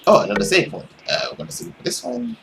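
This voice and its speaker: A young man narrates a visual novel aloud for a gaming video. His reading is animated and dramatic, with casual asides. He is recorded on a headset indoors with faint background noise. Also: sits high, fast talker, gravelly. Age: young adult.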